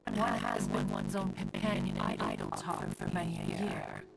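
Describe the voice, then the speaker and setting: A woman's voice: fairly low pitch, wildly swooping pitch, normal speed, creaky, heavily acted. A woman playing an ancient, dignified adeptus mountain guardian in a video game, speaking in formal, archaic phrasing with fond gravity. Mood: fond, chatty. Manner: archaic, formal, stately phrasing, calling self one. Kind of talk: scripted video game dialogue. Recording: distorted, stuttering game audio with music.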